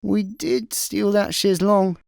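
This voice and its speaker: A man's voice: high-pitched, unhurried, vocal fry, darkish tone, no echo, studio quality. A man playing an easygoing, slightly more sensible slacker in a comedy sci-fi radio play, casual and hesitant. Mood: pondering.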